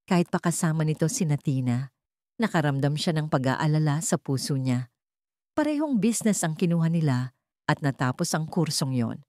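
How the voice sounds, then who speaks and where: A woman's voice: low voice, expressive, moderate pace, darkish tone, no room sound, studio quality. A woman reading scripted dramatic fiction as a storyteller. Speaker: story narrator.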